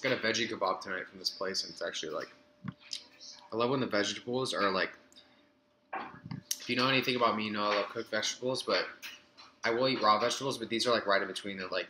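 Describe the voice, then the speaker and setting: A man in his early twenties chatting casually on a live stream, rambling between topics and answering viewer comments. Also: on the low side. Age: 20s. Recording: echoey room with background noise.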